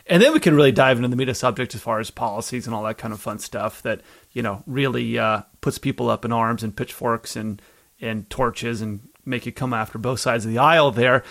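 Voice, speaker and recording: A man's voice: medium pitch, a bit bright. A man hosting a podcast, talking casually and emphatically, repeating points to drive them home. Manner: repetitive, emphatic, enthusiastic. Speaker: podcast host. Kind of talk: casual interview talk.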